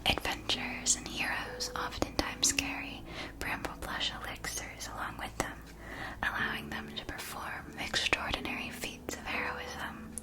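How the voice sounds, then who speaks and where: Woman doing a kindly potion shop lady, asmr roleplay, all breathy whispering, slow n careful. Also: natural voice. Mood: soothing, helpful. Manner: slow, deliberate, gently explanatory. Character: kindly female shopkeeper of magical witch-school potion supplies.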